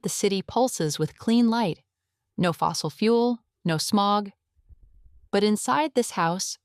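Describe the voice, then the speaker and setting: A woman narrating a scripted audio drama in a measured, atmospheric storytelling manner. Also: medium pitch, clear, resonant tone, dry recording, studio quality.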